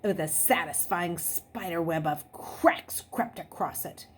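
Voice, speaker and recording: A woman hosting a read-aloud, speaking in an expressive, deliberate storytelling way. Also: lowish voice. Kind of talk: chatty greeting, then expressive children's novel read-aloud. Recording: noisy background.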